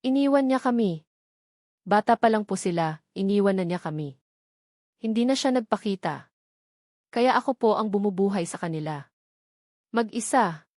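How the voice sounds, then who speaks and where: A woman's voice: mid-range voice, animated, normal speed, long pauses, a little mellow, dry recording, clean studio sound, close to an everyday voice. Anxious, defiant young mother, voiced by a narrator. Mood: hard, defiant.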